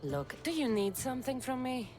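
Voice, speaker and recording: An actress playing a principled, impatient Greek heroine in a video game, wry and direct. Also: female voice, high-pitched, big pitch swings, moderate pace, a little mellow, faint music behind. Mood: puzzled, dry. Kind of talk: video game cutscene acting.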